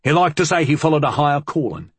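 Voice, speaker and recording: A man voicing a huge, shaggy, wary old crook in an audiobook, with a rough dialect. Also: a little high, very animated, no echo, pitched above the performer's own voice. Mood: wary reminiscing. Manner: rough dialect, slow and appraising.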